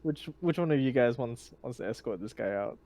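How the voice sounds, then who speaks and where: Mellow-voiced man playing a tabletop game. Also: mid-range voice, expressive, a little fast. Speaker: player in a tabletop roleplaying game. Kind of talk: casual tabletop roleplay chat.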